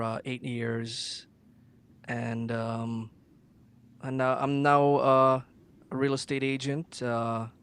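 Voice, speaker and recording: A middle-aged man from Guyana, a singer talking about himself as a guest in a casual interview, halting with many ums and uhs. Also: mid-range voice.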